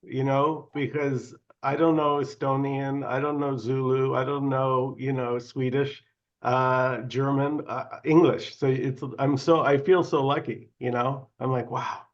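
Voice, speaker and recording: A man's voice: medium pitch, level tone, a little slow, dull audio. A man in a casual group chat who jokes around and laughs often.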